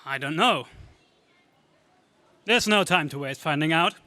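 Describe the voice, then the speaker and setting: Guy doing brave space hero from an old radio serial, earnest, forceful bright voice, really dry sound. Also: fairly high pitch, a little slow, no echo. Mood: resolute. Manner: earnest, declamatory. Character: gallant, brave young space-adventure hero. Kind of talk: 1930s radio serial acting.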